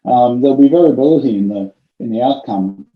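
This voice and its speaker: A vision scientist telling a story in a conversational interview, with frequent ums and restarts. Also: male voice, mid-range voice, brisk, roomy sound, narrow, tinny audio.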